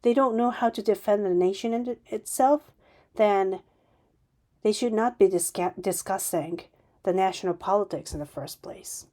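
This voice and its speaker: A woman's voice: mid-range voice, normal speed, dark tone. A woman hosting a solo podcast, speaking off the cuff with many restarts and sudden emphatic bursts. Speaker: podcast host. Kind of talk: unscripted solo podcast monologue.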